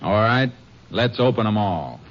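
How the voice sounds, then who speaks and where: A man playing a calm, decisive Texas Ranger in a 1950s radio drama, terse and authoritative as he talks and narrates. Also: on the low side, measured pace, very noisy. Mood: firm, businesslike. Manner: terse, matter-of-fact, authoritative. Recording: dull vintage 1950s radio recording with music.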